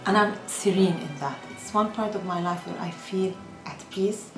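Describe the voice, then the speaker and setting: A woman's voice: medium pitch, moderate pace. A middle-aged woman from Lebanon, an Arabic speaker and teacher, talking about her life in an earnest, reflective way and often saying "like". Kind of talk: personal testimony. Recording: roomy, with music behind. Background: song playing.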